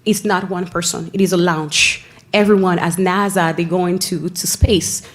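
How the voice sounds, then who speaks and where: A woman from Haiti with a Haitian accent, giving an earnest presentation at a school board meeting, often pausing and restarting her phrases. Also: on the low side, fairly even intonation. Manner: earnest, with frequent pauses and restarts. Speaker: school family engagement staff member.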